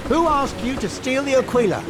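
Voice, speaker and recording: A man playing a small, clever, confident Gaul hero in a cartoon game, brisk and assured. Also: very high-pitched, lively intonation, over music. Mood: stern. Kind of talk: video game cartoon acting.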